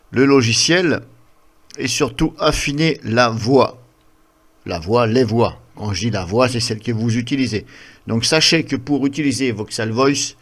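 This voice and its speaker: A man hosting an informal screen-recorded software tutorial, rambling and repeating himself as he explains. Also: mid-range voice.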